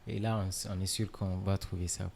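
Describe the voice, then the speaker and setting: A man from Niger, a musician guest in a casual interview, relaxed and filler-heavy, dropping in English words. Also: sits low, a little fast, gravelly.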